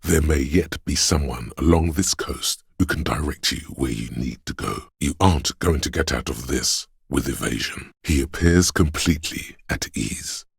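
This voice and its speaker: A man voicing a gentle, perceptive inner voice in a video game, narrating others' feelings in a measured, hushed, solemn and compassionate way. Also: a bass voice, vocal fry, husky, no echo, studio quality, full character voice. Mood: quiet, reassuring. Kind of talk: scripted game narration, intimate inner monologue.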